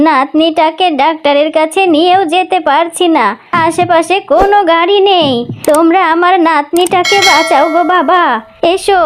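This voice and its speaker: Woman doing old granny fox, super high voice, dramatic wailing n threats, cartoon w music behind. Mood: pleading. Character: vengeful elderly vixen grandmother, pleading then menacing.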